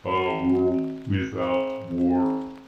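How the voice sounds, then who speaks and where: A man voicing a calm, solemn robot soldier in slow, measured pronouncements, with a processed, echoing voice. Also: sits low, voice pushed down, exaggerated voice. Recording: dull, echoing, with loud music.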